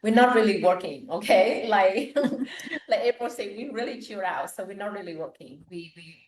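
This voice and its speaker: A woman's voice: mid-range voice, expressive, normal speed, a little mellow, a little hiss or hum. A woman with a Vietnamese accent introducing herself casually as a panelist, recorded in an echoey room. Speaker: talk show panelist, online business owner. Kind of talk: casual spoken self-introduction. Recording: echoey room, online live stream.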